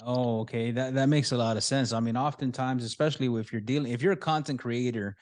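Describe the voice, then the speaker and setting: A man's voice: medium pitch, fairly even intonation, quick pace, a little mellow. A man hosting a podcast, rambling through questions with fillers and restarts. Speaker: podcast host. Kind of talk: casual interview talk.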